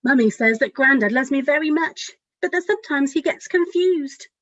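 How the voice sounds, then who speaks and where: A woman's voice: high voice, lively intonation, moderate pace, a bit bright, no echo, dull audio. A woman children's author reading a picture book aloud expressively, speaking directly to young listeners.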